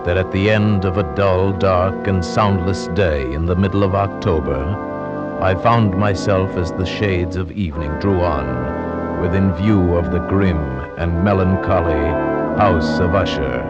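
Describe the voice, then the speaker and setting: An actor narrating as a grave gentleman recalling a dreadful visit, in 1940s radio drama style. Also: sits low. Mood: solemn. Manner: solemn, measured storytelling. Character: grave gentleman, friend of a doomed man. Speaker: narrator in character. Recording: muffled old radio with music underneath.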